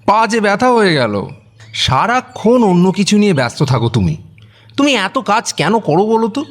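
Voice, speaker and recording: A man's voice: high voice, very animated, moderate pace. An actor playing a whiny but affectionate young son in a radio drama, complaining to his mother. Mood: playful, complaining. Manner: complaining, whining.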